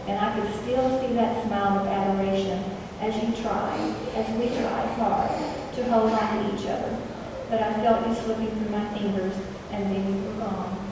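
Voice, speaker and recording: Soft-spoken woman reading a remembrance, gentle delivery, distant mic, long hall echo. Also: mid-range voice. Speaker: fellowship member. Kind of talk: reading a personal remembrance aloud. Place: large meeting hall indoors. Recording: echoey hall, far from mic, background noise.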